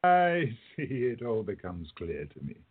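An actor playing a calm, protective time traveller in a radio play, speaking in a measured, firm way. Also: male voice, mid-range voice, a little hiss or hum. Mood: grave, knowing. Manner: measured, firm, hushed at times. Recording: narrow muffled phone-like audio.

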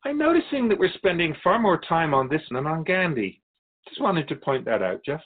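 A man's voice: a little high, big pitch swings, narrow, tinny audio. A man playing a wise otherworldly keeper of souls in a radio drama, courteous, philosophical and wry as he tells stories. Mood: curious, challenging. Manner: measured, philosophical, gently challenging. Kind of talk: radio drama dialogue, storytelling.

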